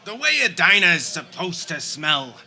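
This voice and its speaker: A man's voice: a little high, big pitch swings, normal speed, sharp tone, faint music behind, heavily acted. A man playing a grumpy, complaining, old-fashioned husband in a comedic radio play, grumbling as he talks. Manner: grouchy, grumbling.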